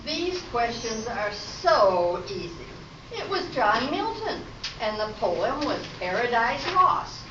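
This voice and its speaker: A woman's voice: fairly high pitch, sing-song, slow, lo-fi sound. A woman playing a smug, bookish, condescending game show contestant in an amateur radio play. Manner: haughty, condescending. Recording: noisy, distant, echoey stage recording.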